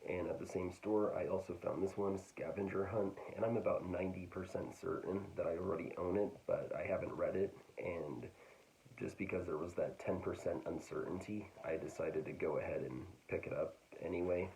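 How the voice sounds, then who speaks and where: A man casually talking through a book haul, hesitant and full of fillers, his voice getting raspy. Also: sits low, fairly even intonation, moderate pace, a little mellow, rough recording. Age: adult. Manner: hesitant, full of ums and restarts. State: getting raspy, clearing throat. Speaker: book vlogger.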